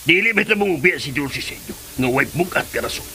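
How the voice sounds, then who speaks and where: A man's voice: on the high side, lively intonation, fast talker, bright, forceful tone, a little hiss or hum. A man with a Cebuano accent playing an earnest, probing middle-aged father in a radio drama. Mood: accusing, insistent. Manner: insistent, questioning. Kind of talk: Filipino radio drama acting. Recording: music under the dialogue.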